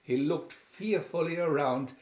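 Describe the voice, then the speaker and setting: Slow, echoey male storybook reader. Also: on the high side, darkish tone. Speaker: reader of children's picture booklets. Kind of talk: storybook reading, scripted narration. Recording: dull, echoey home recording.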